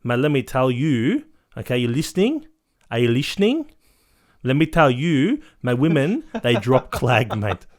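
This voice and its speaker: A man putting on a swaggering self-obsessed ladies' man, brash and pushy, throwing in "mate" constantly, talking at an ordinary middle pitch. He boasts loudly and talks over the other man. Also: very animated, unhurried. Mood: boastful. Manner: brash, cuts in, says "mate" nearly every line. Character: cocky vain male ladies' man, boastful. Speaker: comedic character guest.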